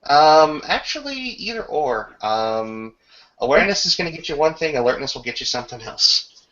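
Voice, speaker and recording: A man's voice: a little high, big pitch swings, normal speed, sharp tone, muffled recording. A man narrating a tabletop roleplaying game as game master, with ornate, descriptive storytelling.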